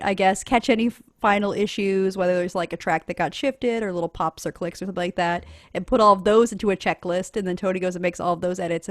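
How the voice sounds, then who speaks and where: Woman podcaster chatting thru her process, kinda wry, mid pitch, really dry audio. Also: no room sound. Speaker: podcaster on a panel. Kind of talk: casual panel talk explaining a process.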